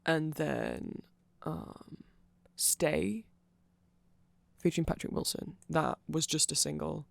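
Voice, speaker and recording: Casting: ASMR voice, androgynous mid pitch, gravelly fry, very dry sound, casual and digressive nerd. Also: slow pace, no room sound. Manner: nerdy, self-deprecating, digressive. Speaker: ASMR creator explaining band lore. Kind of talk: casual rambling ASMR explainer.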